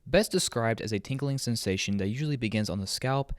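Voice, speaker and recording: A man's voice: mid-range voice, a little fast, dry recording, clean studio sound. A man hosting a scripted video essay as a commentator, with a sarcastic, joking manner and casual swearing. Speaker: YouTube commentator and host.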